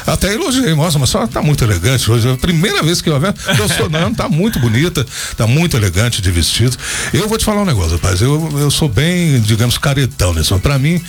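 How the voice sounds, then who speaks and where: Lively Brazilian male radio host. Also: fairly high pitch, fast. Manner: chatty, colloquial, anecdotal. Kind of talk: casual chat with live ad reads. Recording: radio talk over background music. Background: music.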